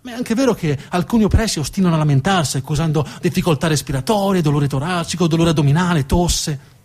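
A man delivering a dramatic narrative theatre monologue with emphatic, deliberate phrasing. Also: fairly high pitch, darkish tone. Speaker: stage storyteller, actor.

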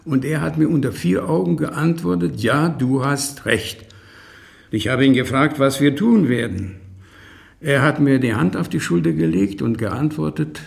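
An actor playing a weary, resentful old man giving testimony in a radio drama, deliberate and terse, with a creaky, gravelly voice. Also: mid-range voice, animated. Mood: haunted, remorseful.

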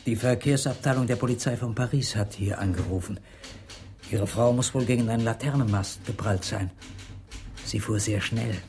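An actor playing a restrained railway boss breaking bad news in a radio drama. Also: male voice, lowish voice, normal speed, darkish tone, slight background noise, lightly acted. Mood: grave, restrained.

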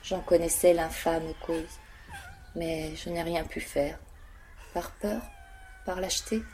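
A woman's voice: fairly low pitch, steady tone, slow pace, a little mellow, slight background noise. A woman playing an anguished, protective young mother in an audio drama, speaking earnestly. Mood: remorseful, resolute. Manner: earnest, sorrowful. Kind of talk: dramatic monologue in an audio play.

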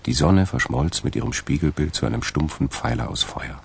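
A man narrating a radio drama from a script, in a deep, gravelly voice. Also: sing-song, brisk, slight background noise.

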